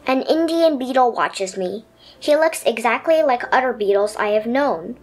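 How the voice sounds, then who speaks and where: A woman voicing a dreamy, adventurous little pet turtle in an expressive storybook telling. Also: on the high side, moderate pace, faint music behind. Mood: dreamy, wondering.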